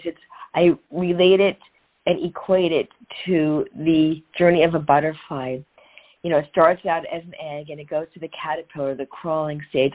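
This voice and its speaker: A middle-aged woman speaking as an interview guest and coach in an earnest, inspirational way, repeating phrases for emphasis. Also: sits low. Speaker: guest, transformational and women's empowerment coach. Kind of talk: conversational interview, motivational storytelling. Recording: narrow phone-like sound.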